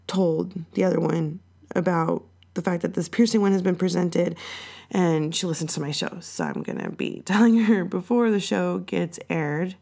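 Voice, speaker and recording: A woman hosting her own podcast, raspy and hoarse from being sick, rambling and swearing casually. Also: lowish voice, expressive, normal speed, warm tone. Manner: rambling and profane, lots of fillers. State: sick, hoarser than usual. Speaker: podcast host. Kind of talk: casual solo podcast chat.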